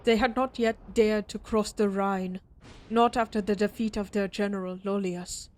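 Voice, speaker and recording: A woman playing a defiant, vengeful Germanic warrior in a scripted audio roleplay, narrating solemnly and deliberately with weighty pauses. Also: medium pitch, fairly even intonation, a little mellow, close to an everyday voice. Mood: somber, measured. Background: ambient sound effects.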